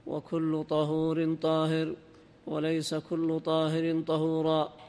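Guy reciting an old religious text, clean smooth voice, really flat half-chant, big echo. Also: a little high, slow pace. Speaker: student reader in a religious lesson. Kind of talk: reading classical text aloud, semi-chanted. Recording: long hall-like reverb.

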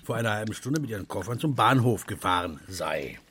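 A man's voice: on the low side, lively intonation, fairly quick, a bit bright, a little hiss or hum. A man narrating a radio play, reading scripted literary prose as a storyteller.

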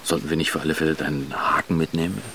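An actor playing a blunt, sardonic married father in a naturalistic radio drama, talking colloquially and haltingly. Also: very deep voice, fairly even intonation, sharp tone, a little hiss or hum, exaggerated voice. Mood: conspiratorial.

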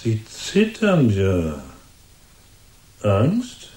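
Taunting cold-blooded killer, unhurried, mellow voice, roomy sound, radio crime drama. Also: male voice, on the low side, huge pitch swings, full character voice. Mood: taunting menace. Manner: mocking, drawn-out, menacing taunts.

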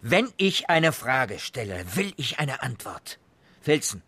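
Radio play: a sardonic interrogating sergeant, commanding, lively intonation, close dry sound. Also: male voice, mid-range voice, slow pace, breathy edge, no echo, exaggerated voice. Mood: stern, sarcastic. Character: bullying, sarcastic police sergeant interrogating suspects. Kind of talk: radio crime drama acting.

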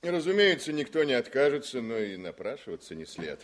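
An actor playing a self-assured, sensible artillery officer in a Soviet radio drama, emphatic and wry. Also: male voice, mid-range voice, sing-song, fairly quick, close to an everyday voice. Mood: assured, brisk.

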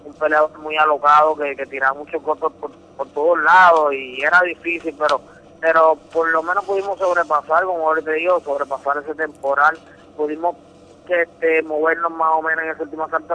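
Earnest Puerto Rican man, bright voice. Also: fairly high pitch, level tone, a little fast, slight background noise, rough recording. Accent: Puerto Rican Spanish. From Puerto Rico. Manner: earnest, repeats words, emphatic retelling. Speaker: interviewed boxing champion. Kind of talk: phone interview on radio.

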